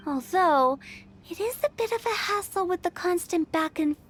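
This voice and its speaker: A playful young funeral director. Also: female voice, very high voice, expressive, moderate pace, fairly bright tone. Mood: breezy. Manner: teasing salesperson patter. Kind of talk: game voice acting. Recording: game audio with clear music.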